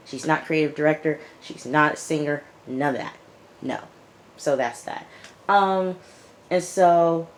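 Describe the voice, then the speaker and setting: A woman with a raspy, hoarse voice from recent illness, reviewing a TV episode in a casual vlog and repeating her points for emphasis. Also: sits low, a little hiss or hum. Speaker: YouTube TV reviewer.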